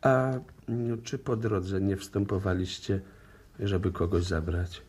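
An actor playing a calm, courteous, probing police inspector in a 1960s-style Polish radio drama, with measured questioning. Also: male voice, low voice, husky, exaggerated voice. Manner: polite, measured, hesitant questioning.

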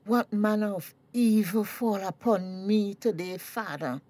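A woman's voice: medium pitch, unhurried, dark tone, no echo, close to an everyday voice. An actress with a Tobagonian accent playing an anxious, fussing middle-aged mother in a radio drama. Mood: flustered.

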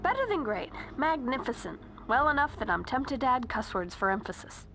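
A woman's voice: sits high, very animated, normal speed. An actress playing a perky, earnest, nerdy teenage girl in a video game, chirpy and a little awkward. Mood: proud, excited.

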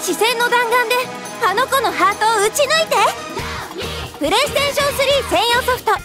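Squeaky, peppy anime girl. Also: animated, fairly quick. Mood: peppy, cute. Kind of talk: game commercial voice-over. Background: children's-style music.

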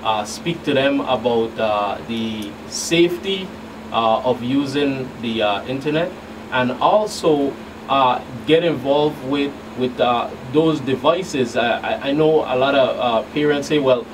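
Male law enforcement guest, mid pitch, explanatory and halting, with clear background noise. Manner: halting, repeats words. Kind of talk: explanatory radio interview answers. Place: small radio studio. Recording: noisy, distant mic with room hum.

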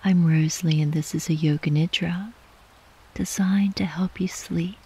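A woman guiding a sleep meditation in a soft, breathy, whispery voice, gently and soothingly, with long unhurried pauses. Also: lowish voice, steady tone. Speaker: yoga nidra meditation guide.

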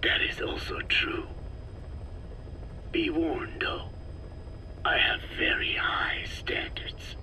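An actor playing a proud, picky old sage in a video game, with a whispery rasp and solemn, formal phrasing. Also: male voice, slow pace, long pauses, sharp tone. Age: older. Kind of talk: scripted video game drama.

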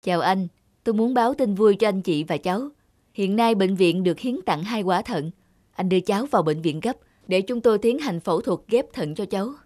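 A woman's voice: mid-range voice, lively intonation, a little fast, a little mellow, dry recording, studio quality, lightly acted. Woman voicing a formal, kindly cartoon doctor. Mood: calm, informative. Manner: formal, explanatory. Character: kindly middle-aged male doctor, calm and formal. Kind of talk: cartoon dubbing.

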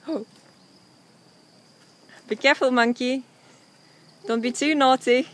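A woman's voice: sits high, takes it slowly. A young woman hosting a casual travel vlog, upbeat and laughing easily, on an outdoor terrace. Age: young adult. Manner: bubbly, upbeat, laughs often. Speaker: vlogger host. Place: open hillside terrace outdoors. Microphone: camera mic. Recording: steady outdoor background noise.

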